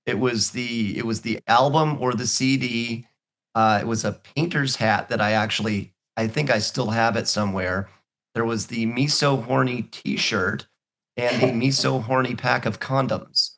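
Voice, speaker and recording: A man's voice: mid-range voice, fairly even intonation, measured pace, fairly bright tone. A man hosting a casual interview, often stammering and restarting before his questions. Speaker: interview host.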